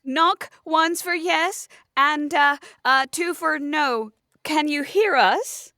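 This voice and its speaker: A woman's voice: sits high, slow pace, bright, forceful tone, no room sound, clean studio sound. A woman improvising in-character dialogue as a player in a roleplay podcast.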